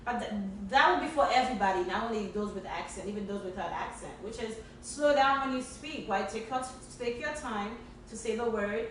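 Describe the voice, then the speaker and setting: A woman's voice: mid-range voice, fairly quick, few pauses, a little hiss or hum. A woman from Haiti co-hosting a podcast, speaking with emphatic repetition in casual, motivational chat. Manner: emphatic, repeating phrases for effect. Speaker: podcast co-host. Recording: echoey room from far off.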